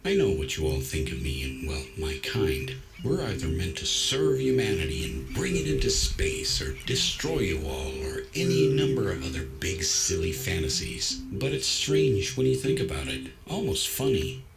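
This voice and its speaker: Man as a deep-voiced, philosophical android. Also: big pitch swings, poor audio. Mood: wry, musing. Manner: measured, nonchalant, reasoning. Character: calm, philosophical android, self-assured and gently wry. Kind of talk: dramatized science fiction audio story. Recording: music behind the voice.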